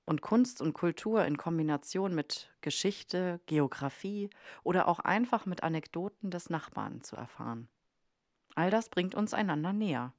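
A woman's voice: fairly low pitch, animated, moderate pace, no echo, studio quality. A woman host reading scripted documentary narration for a podcast. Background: faint music.

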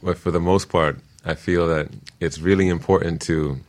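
A man speaking as an expert guest in an interview, explaining things in an earnest, deliberate way. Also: low voice, steady tone, darkish tone. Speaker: guest expert, permaculture teacher.

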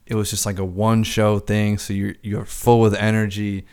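A man's voice: on the low side, little pitch movement, normal speed, darkish tone, smooth. A man who works as a DJ, chatting casually on a podcast, rambling with lots of filler words and swearing freely.

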